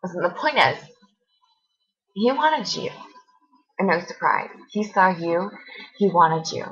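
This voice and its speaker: A woman acting a hurt, accusing young woman confronting her former best friend in a halting, emotional dramatic monologue. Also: fairly low pitch, lively intonation, dull audio. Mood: tense, confessional. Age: young adult.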